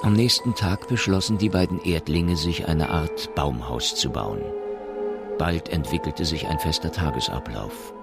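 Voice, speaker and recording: Casting: man with a very deep voice to narrate a radio drama over a clear music bed. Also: a little slow.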